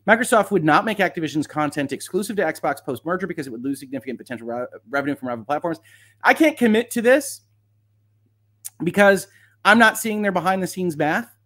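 A man's voice: high voice, animated, fairly bright tone. A man hosting live legal commentary, reading points aloud and reacting off the cuff in an analytical, lawyerly way. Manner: analytical, measured hedging, lawyerly vocabulary.

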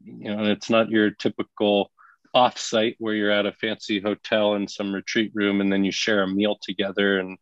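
A man, a consultant guest in an interview, speaking thoughtfully and haltingly with many fillers. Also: on the low side, level tone, no room sound, lo-fi sound. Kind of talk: reflective interview talk.

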